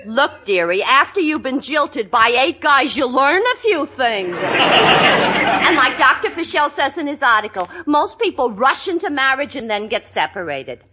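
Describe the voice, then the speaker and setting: Brassy, high-voiced wisecracking woman. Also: very animated, normal speed, sharp tone, some chatter behind, heavily acted. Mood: knowing advice. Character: brassy, wisecracking, man-weary single girlfriend. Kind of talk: 1940s radio comedy acting. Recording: noisy 1940s radio with studio audience.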